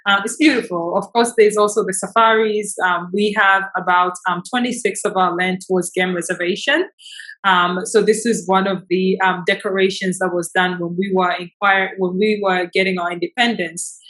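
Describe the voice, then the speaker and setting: A woman's voice: mid-range voice, fairly even intonation, normal speed, a bit bright, poor audio. Rambling woman from Tanzania. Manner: rambling, many fillers and restarts. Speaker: business founder, interview guest. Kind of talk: casual interview talk.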